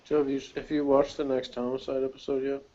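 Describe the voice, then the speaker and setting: A man's voice: medium pitch, little pitch movement, quick pace, mellow, muffled recording. A man co-hosting a show in casual conversation, rambling along in an easygoing way. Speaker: podcast co-host.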